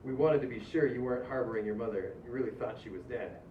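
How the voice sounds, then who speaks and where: A man playing a brisk, businesslike bounty hunter in a comedy table read. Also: mid-range voice, lightly acted. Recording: echoey, distant, muffled room with faint noise.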